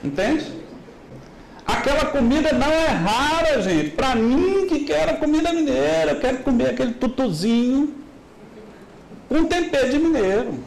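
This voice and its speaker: A middle-aged man with a Minas Gerais accent giving a lively business talk and asking the audience rhetorical questions. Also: very high-pitched, normal speed, creaky, roomy sound, rough recording. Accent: Minas Gerais Brazilian Portuguese. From Minas Gerais, Brazil. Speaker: business lecturer. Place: large hall.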